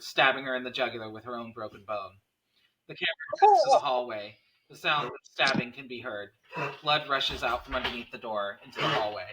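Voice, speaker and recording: A man's voice: medium pitch, background noise. A man reading screenplay stage directions as the narrator of a table read.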